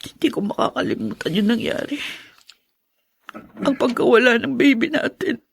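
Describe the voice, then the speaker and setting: Bitter, high-voiced grieving young wife. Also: female voice, big pitch swings, normal speed, gravelly, slightly rough, heavily acted. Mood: sullen, grieving. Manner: intense, accusatory, emphatic. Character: bitter grieving young wife, unforgiving of her father-in-law. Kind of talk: Tagalog radio drama acting.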